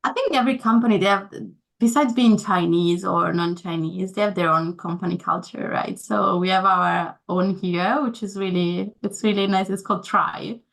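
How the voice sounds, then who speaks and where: A woman's voice: mid-range voice, normal speed, vocal fry, a bit bright. A young woman, not a native English speaker, answering interview questions casually, with many fillers and restarts. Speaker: guest.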